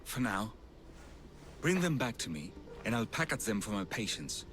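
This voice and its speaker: Game role: kind, troubled village physician, lowish register, very animated delivery, slight background ambience. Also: male voice, moderate pace, slight background noise. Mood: calm, friendly. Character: kind, earnest male village physician burdened by family worry. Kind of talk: video game cutscene acting.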